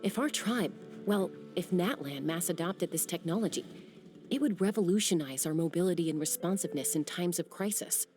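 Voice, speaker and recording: A woman's voice: lowish voice, animated, a little fast, over music, lightly acted. An actress playing a young female warrior who speaks in a level-headed, analytical way. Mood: explaining. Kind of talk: video game cutscene dialogue.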